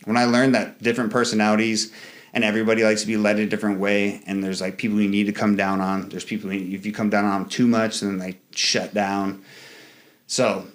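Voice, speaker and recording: A man's voice: flat delivery, fairly quick. A man in his thirties, a Marine veteran guest in an interview, talking casually with many fillers and restarts and acting out quoted exchanges. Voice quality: fairly high voice for a man.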